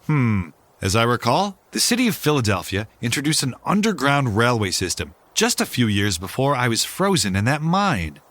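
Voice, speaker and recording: An actor playing a hearty, cheerfully oblivious old-fashioned hero in a comedy audio drama, with jaunty old-time heroic diction. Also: male voice, medium pitch, very animated, moderate pace, fairly bright tone, no room sound. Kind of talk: 1930s-style radio serial acting.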